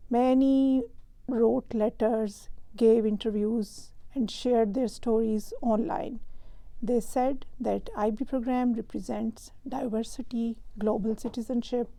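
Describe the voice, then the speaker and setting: Woman, host, fairly high voice, gravelly fry, measured scripted reading. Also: dark tone, rough recording. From Pakistan. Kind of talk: scripted explainer narration.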